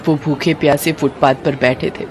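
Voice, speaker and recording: A woman narrator tells a scripted moral story for an animated tale. Her voice sits a little low for a woman, and she reads as a storyteller. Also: normal speed, slight background noise.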